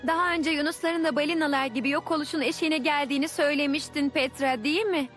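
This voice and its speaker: A woman's voice: high-pitched, fairly even intonation, fast, fairly bright tone, soft background music. A woman voicing an earnest, concerned young pupil in a dubbed educational cartoon.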